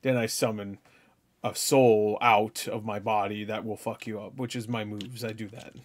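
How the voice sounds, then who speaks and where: A man's voice: lowish voice, fairly even intonation, moderate pace. A man giving casual reaction commentary as a host, with slangy, jokey, sweary talk.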